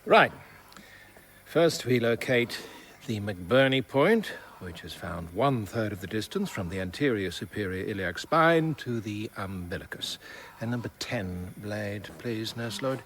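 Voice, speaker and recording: An actor playing an arrogant, scornful senior surgeon in a radio drama, with a clipped, condescending manner. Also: male voice, fairly low pitch, animated, a little slow, a bit bright, slight background noise. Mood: smug, instructing. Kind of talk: BBC radio drama acting.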